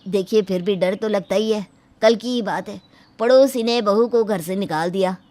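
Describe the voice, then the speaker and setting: A medium-pitched voice plays an earnest family member , acted by a woman. The delivery is pleading and sincere, in the manner of a domestic moral tale. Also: normal speed, mellow, no echo, natural voice. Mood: worried.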